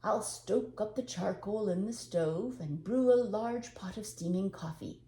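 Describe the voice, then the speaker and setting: A woman voicing a kindly, hopeful old widower who muses gently to himself in a storybook reading. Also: mid-range voice, measured pace, reverberant, voice pushed up, lightly acted. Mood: cheerful. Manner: gentle, thoughtful musing to himself. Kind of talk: storybook character voice.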